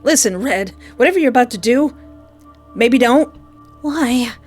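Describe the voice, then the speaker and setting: A woman's voice: a little high, expressive, unhurried, darkish tone, husky, faint music behind. A woman playing a visual novel, reacting playfully and mockingly with theatrical, sarcastic delivery. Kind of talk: playful reactions and dramatic reading aloud.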